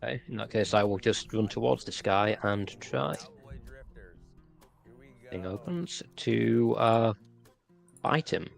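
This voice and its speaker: A man's voice: lowish voice, slow, creaky, long pauses, soft background music. A man chatting casually as a player in a tabletop roleplaying game.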